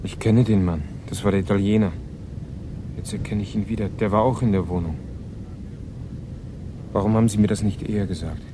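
A troubled teenage boy played by a male actor speaks in a fairly low voice with earnest directness. He is polite, in radio drama style, with clear rumble behind him. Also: steady tone, fairly quick, pauses often, natural voice. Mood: startled, reproachful. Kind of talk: German radio drama acting.

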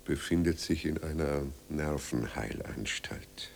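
A man's voice: rumbling low, unhurried, gravelly, a little hiss or hum, full character voice. An actor in a German radio drama playing a proud, weary male writer, curt, formal and sardonic. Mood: bitter anguish.

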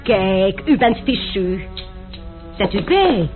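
A man's voice: sits high, very animated, over music, heavily acted. An actor playing a young male gambler and duelist who talks dryly and mockingly, in a radio play. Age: young adult. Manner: dry, mocking, unhurried. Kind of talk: radio drama acting. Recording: tinny lo-fi radio play recording with hiss.

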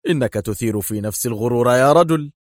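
A man playing a self-assured secret agent in a story, calm, unhurried and mocking. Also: medium pitch, no echo, clean studio sound, lightly acted. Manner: sardonic, unhurried, simple.

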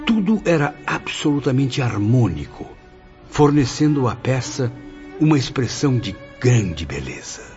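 An earnest, wondering spirit acted by a man recounts his memories. His intonation is very animated, in radio-drama style. The recording is dull, with music clearly audible underneath. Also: mid-range voice, measured pace. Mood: marveling. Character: awed male spirit narrator recalling wonders to a listener.

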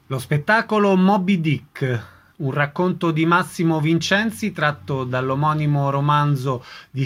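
A man hosts a radio programme, reading from a script. His voice sits a little higher than most men's. He delivers it as a formal announcement. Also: fairly bright tone.

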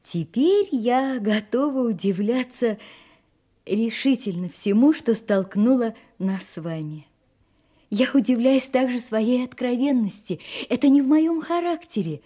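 An actress playing a refined, self-possessed young gentlewoman, candid and faintly mysterious, in an audio drama, speaking elegantly with dramatic pauses. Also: fairly high pitch, expressive. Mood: confiding, troubled. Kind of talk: Russian audio drama acting.

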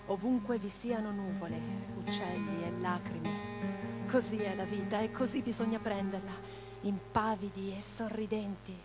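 A woman's voice: medium pitch, fairly even intonation, normal speed, lightly acted. A woman playing an impassioned, tender revolutionary writer in a radio drama, speaking in a lyrical, declamatory way. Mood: tender, sorrowful. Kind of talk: theatrical radio drama monologue and dialogue. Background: plucked guitar music.

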